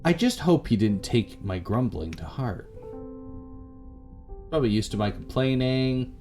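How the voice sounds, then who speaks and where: A man's voice: mid-range voice, sing-song, measured pace, dark tone. A man reading a visual novel aloud as a let's player, narrating dramatically. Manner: dramatic, rereads stumbled lines. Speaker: let's play YouTuber. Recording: music playing under the voice. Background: game music.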